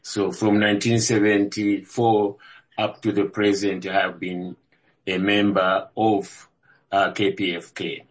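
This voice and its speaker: An older man from Zambia and South Africa introducing himself as a candidate at a public meeting, speaking in a measured way with frequent hesitations. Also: lowish voice, little pitch movement, poor audio. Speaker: board candidate.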